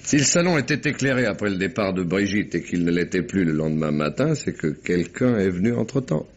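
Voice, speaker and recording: An actor playing a shrewd, talkative police commissioner with a probing, familiar, ironic manner in a French radio mystery. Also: male voice, sits low, expressive, fairly quick. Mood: probing, methodical. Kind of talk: French 1950s-style radio mystery acting. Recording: muffled, noisy old radio recording.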